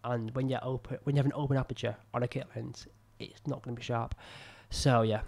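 A young man with a croaky voice from illness, casually commenting on his old film, rambling and self-critical with many fillers, close to a boom-arm mic in a small room. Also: fairly even intonation, a little fast, a little mellow, soft background music. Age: young adult. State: ill, croaky voice. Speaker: filmmaker reviewing his own work. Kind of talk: casual commentary over footage. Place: small room indoors at a desk. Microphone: studio mic on a boom arm close to mouth.

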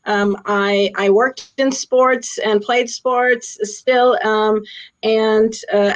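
A middle-aged woman from Austria who grew up in Switzerland, talking casually and thoughtfully on a video call from a small room, with many fillers. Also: a little high. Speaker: sports executive, alumna guest. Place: small indoor room with a plain wall. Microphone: laptop webcam on a video call. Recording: slightly dull video call audio.

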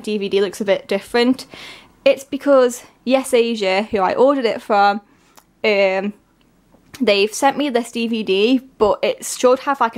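Congested young woman, chatty and excited. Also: mid-range voice. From England. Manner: chatty, excited, many fillers. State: congested from a cold. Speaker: vlogger. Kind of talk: casual unboxing narration. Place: at home, at a table.

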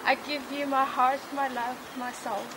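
Young bride reading vows outdoors, high voice. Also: female voice, level tone, unhurried. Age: young adult. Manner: warm, playful, giggly. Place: outdoor wedding under trees. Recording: loud outdoor noise.

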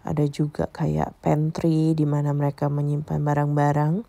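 A woman's voice: low voice, completely flat delivery, normal speed, gravelly, warm tone, no room sound. A woman narrating a casual travel vlog tour, explaining things directly to viewers. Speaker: travel vlogger.

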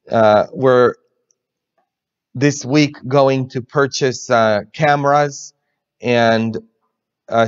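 Brief: thirties male priest, slow, lively storytelling homily, somewhat high voice, dull webcam audio in a reverberant church. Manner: animated storyteller, gestures a lot. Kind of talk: sermon. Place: church interior, large. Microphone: webcam in front.

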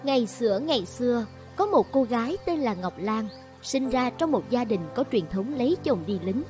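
A woman's voice: on the high side, expressive, normal speed, over music, natural voice. A woman narrating a folk tale in a scripted storybook style.

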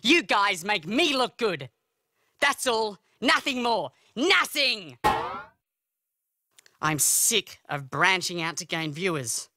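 A man's voice: high voice, sing-song, slow, long pauses, noisy background, full character voice. A man playing a paranoid, bullying cartoon TV comedy host, agitated and self-important. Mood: bullying, angry. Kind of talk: animated satire dialogue.

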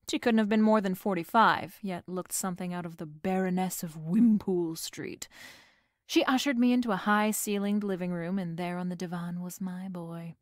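A woman's voice: medium pitch, no echo, studio quality, natural voice. A woman playing a brash, wisecracking female private detective in a noir radio drama, wry and slangy. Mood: sardonic. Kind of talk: noir radio drama acting and first-person narration.

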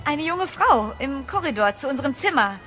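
A determined lady sleuth, high-ish voice, horror audio play with clear music behind. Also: big pitch swings, fairly quick, fairly bright tone. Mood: hesitant, pleading. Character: young female amateur sleuth, determined and wily. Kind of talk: audio drama acting with first-person narration.

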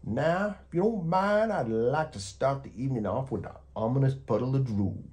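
A man's voice: mid-range voice, lively intonation, moderate pace, darkish tone, pitched above the performer's own voice, natural voice. A man voicing a menacing but fond male storybook monster with a growl, speaking with theatrical, hungry relish. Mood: playfully menacing. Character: menacing, hungry, affectionate old-hand male under-bed monster.

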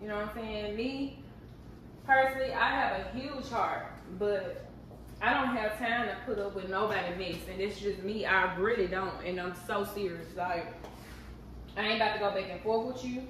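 A woman's voice: medium pitch, normal speed, a bit bright, very echoey, far from the mic, slight background noise, rough recording. A woman, chatting candidly and animatedly to her viewers while cooking in her kitchen. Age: 30s. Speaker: vlogger. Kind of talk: casual chat while cooking. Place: home kitchen.

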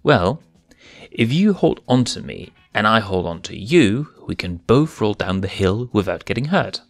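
A man's voice: fairly low pitch, sing-song, measured pace, heavily acted. A man voicing a confident, sarcastic young male lion cub in a fanfic reading.

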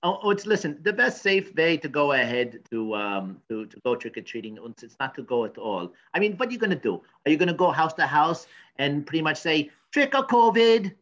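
A man's voice: fairly high pitch, animated, a little fast, few pauses, fairly bright tone, dull audio. A man playing a cranky, pompous professor in a comedy news sketch, rambling and stammering. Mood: blustering. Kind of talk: improvised mock news interview comedy.

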